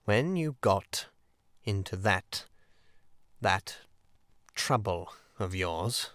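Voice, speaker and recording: A man voicing an earnest, excitable water rat in a storybook, speaking in an emphatic and deliberate manner. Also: lowish voice, no room sound, clean studio sound. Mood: grave, confiding. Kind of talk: audiobook character acting.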